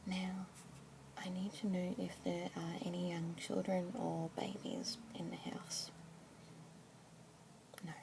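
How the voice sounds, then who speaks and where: A young woman with an Australian accent whispering softly and gently as a pet adoption consultant in an ASMR roleplay. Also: lowish voice, level tone, vocal fry. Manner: soft whispering, gentle and unhurried. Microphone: close sensitive ASMR mic. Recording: noisy hiss behind close whisper.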